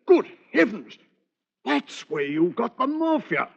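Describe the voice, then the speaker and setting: A man's voice: extremely high pitch, very animated, takes it slowly, vocal fry, slightly rough, exaggerated voice. An actor playing an indignant man in a 1940s radio crime drama. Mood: shocked indignation. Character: indignant British man realizing he was robbed. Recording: dull old radio recording.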